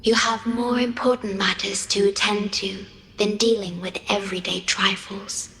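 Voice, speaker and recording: An actress playing a gentle, devoted island guardian in a video game, speaking softly and solemnly with a breathy, reserved sorrow. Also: female voice, mid-range voice, level tone, echoey room, a little hiss or hum, low-quality recording. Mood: solemn, wistful. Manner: soft, breathy, solemn and measured delivery. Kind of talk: video game character acting.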